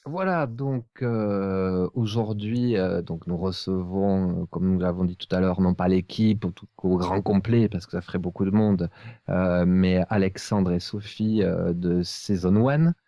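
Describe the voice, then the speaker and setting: A man hosting a casual podcast chat, speaking in halting, hesitant phrases. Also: low voice, mellow, no room sound. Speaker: podcast host.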